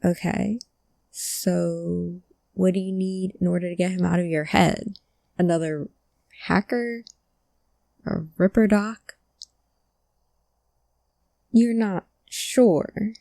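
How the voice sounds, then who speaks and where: A woman acting an earnest, emotional hacker searching for a lost friend in a cyberpunk audio drama, with halting delivery. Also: fairly low pitch, fairly even intonation, vocal fry, dark tone, no room sound, clean studio sound. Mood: anxious, hopeful. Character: distressed, determined hacker woman seeking her lost friend.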